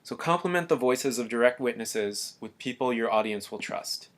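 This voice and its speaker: Man, mid-pitched voice, scripted explainer narration, calm and earnest, measured delivery.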